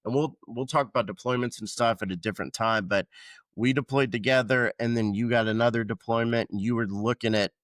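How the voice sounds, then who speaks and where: A man's voice: medium pitch, fairly even intonation, brisk, fairly bright tone, no room sound. A man in his thirties from Georgia who hosts a podcast and talks in a loose, rambling way with casual swearing. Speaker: podcast host, veteran. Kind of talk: casual chat.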